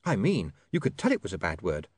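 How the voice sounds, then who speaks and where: A man's voice: low-pitched, huge pitch swings, brisk, breathy edge, dry recording, exaggerated voice. A man voicing a prim, spirited, shaken young woman in a story. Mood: indignant.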